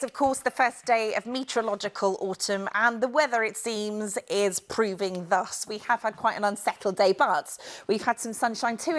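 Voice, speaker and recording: Female evening weather presenter, measured and polished, higher register. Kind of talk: broadcast weather forecast.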